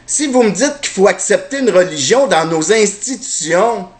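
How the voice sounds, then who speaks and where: A man's voice: sits high, expressive, moderate pace. A man with a Québécois French accent delivering a heated, sarcastic opinion monologue and repeating rhetorical questions. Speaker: commentator.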